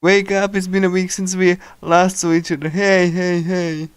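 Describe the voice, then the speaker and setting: Male game streamer, halting casual read-aloud, smooth slightly high voice, close dry sound. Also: little pitch movement, no room sound. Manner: hesitant, halting, with small chuckles. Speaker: streamer reading a visual novel aloud.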